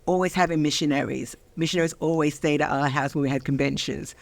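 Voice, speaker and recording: Animated older woman with a London accent. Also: low-pitched, gravelly, no echo. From London, England. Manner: animated, laughs often, slows for emphasis. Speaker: guest, minister. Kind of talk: studio interview chat. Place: studio.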